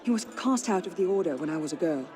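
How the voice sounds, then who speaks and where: A woman's voice: lowish voice, lively intonation, fast, over music, close to an everyday voice. An actress playing a proud, defiant young noblewoman with a formal, haughty manner in a game cutscene. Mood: grave. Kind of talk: dramatic video game cutscene acting.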